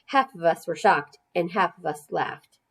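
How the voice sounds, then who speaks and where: A woman's voice: lowish voice, measured pace, gravelly, breathy edge. A woman narrating a children's storybook expressively, as a storyteller reading aloud to young listeners.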